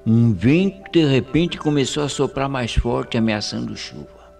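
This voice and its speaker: An actor playing an old country colonel with a Brazilian accent, spinning an eerie tale with folksy suspense in a radio mystery drama. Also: male voice, medium pitch, measured pace, creaky, a little mellow, faint music behind. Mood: rising suspense. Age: older.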